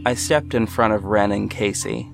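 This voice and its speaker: A man playing a protective, self-assured ex-cop detective with a measured, firm delivery in an audio drama. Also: lowish voice, gravelly. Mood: narrating, composed. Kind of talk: first-person audio drama narration and dialogue. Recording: music bed behind the voice. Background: music.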